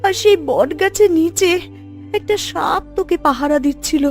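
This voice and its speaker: A woman's voice: extremely high pitch, moderate pace, soft background music. A woman playing a tender, sorrowful village wife and mother in a cartoon, with a pleading, emotional delivery. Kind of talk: Bengali cartoon voice acting.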